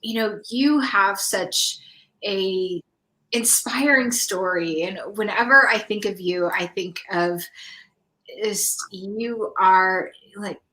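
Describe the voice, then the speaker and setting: A woman hosts a casual online interview. Her voice is notably bright and forward. She speaks slowly, pausing often between short phrases as she introduces her guest. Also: mid-range voice, rough recording. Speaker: online summit host.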